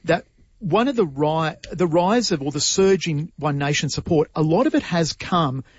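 A man co-hosting talk radio, giving steady, opinionated commentary. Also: a little high, moderate pace, breathy edge, no room sound, muffled recording. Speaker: radio co-host.

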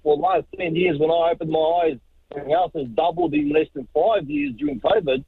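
A man, a truck driver calling in, talking haltingly with lots of fillers and jokes. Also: on the high side, sing-song, vocal fry, slightly rough, no room sound. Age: 40s. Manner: halting, joking, full of fillers. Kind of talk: phone-in call. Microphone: phone. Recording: tinny phone line.

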